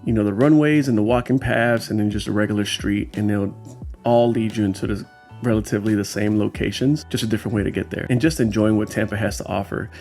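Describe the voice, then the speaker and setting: A man's voice: lowish voice, fairly even intonation, quick pace, a little mellow, soft background music. A man hosting his own vlog, talking through his street photos in a casual, explanatory voiceover with frequent fillers. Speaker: street photographer and channel host.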